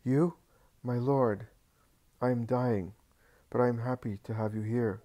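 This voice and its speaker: A man's voice: medium pitch, steady tone, a little slow, warm tone, voice pushed up, lightly acted. A man voicing a frail, feverish dying male servant, weak and grateful.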